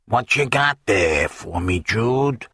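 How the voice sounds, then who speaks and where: A man voicing a gruff, fat, middle-aged thuggish tabloid editor in a nasal, gravelly mobster voice. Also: fairly low pitch, expressive, slow pace, pushed and bright, dry recording, studio quality, heavily acted. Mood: expectant.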